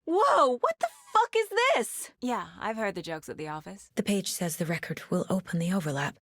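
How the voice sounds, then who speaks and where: A woman playing a determined, sharp investigator in a horror video game, measured and introspective, often as if talking to herself. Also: mid-range voice, big pitch swings, no room sound, studio quality. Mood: distressed, urgent, then brooding, quiet. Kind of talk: cinematic video game acting, naturalistic.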